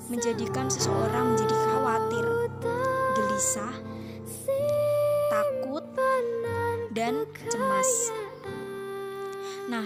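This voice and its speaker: Young adult woman, fairly high pitch. Student explainer read to viewers as friends, prominent music bed. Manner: addresses viewers as friends, storytelling. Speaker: university student presenter. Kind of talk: scripted explainer narration for a class video. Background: loud music under the voice.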